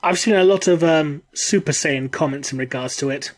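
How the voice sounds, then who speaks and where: A man's voice: fairly high pitch, moderate pace. A man hosting a casual podcast, a bit bunged up from a cold, joking and laughing often. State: congested from a cold. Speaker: podcast host. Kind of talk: casual chat.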